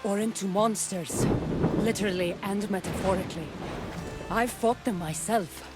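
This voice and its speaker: A battle-hardened female mercenary played by an actress in a game cutscene. She speaks at a middling pitch in a serious, guarded, dry way, with music behind her. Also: normal speed, natural voice. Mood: wary, matter-of-fact. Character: tough, level-headed female mercenary warrior. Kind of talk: video game cutscene acting.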